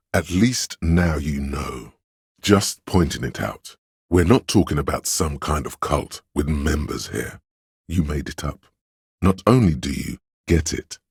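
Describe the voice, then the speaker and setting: A man playing a pedantic, erudite inner voice of encyclopedic knowledge in a video game, narrating facts in a dry, matter-of-fact deadpan. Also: extremely low pitch, slightly rough, no echo, heavily acted.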